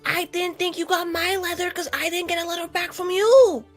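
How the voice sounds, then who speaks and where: A woman's voice: very high voice, little pitch movement, moderate pace. A woman playing in a tabletop roleplaying game, improvising casual, rambling in-character dialogue. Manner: rambling and conversational, heavy use of like. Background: music.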